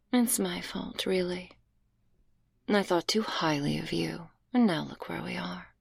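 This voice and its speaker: A woman's voice: lowish voice, takes it slowly, creaky, slightly rough, no room sound, full character voice. A woman playing a stern, teasing, domineering school librarian, scolding sarcastically in an intimate, half-whispered ASMR roleplay on a close binaural microphone.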